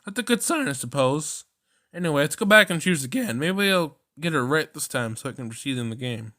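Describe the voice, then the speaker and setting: A man's voice: fairly high pitch, animated, a little fast, bright, forceful tone. A man doing a visual novel let's play, reading narration aloud and reacting in slangy, exclamatory casual speech. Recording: prominent background music. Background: music.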